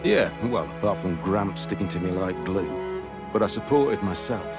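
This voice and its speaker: A man playing a gruff, guarded, self-reliant loner in a video game cutscene. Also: sits low, animated, normal speed, over loud music.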